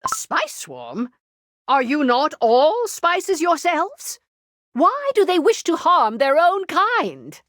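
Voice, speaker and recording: A woman playing a haughty but gracious young royal in a game cartoon, speaking formally and imperiously, with loud music behind. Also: high voice, wildly swooping pitch, slow pace, no echo, clean studio sound, heavily acted. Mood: puzzled.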